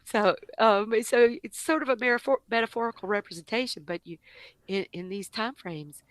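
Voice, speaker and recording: A woman guest giving casual advice in an informal, halting way. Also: a little high, no echo. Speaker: podcast guest.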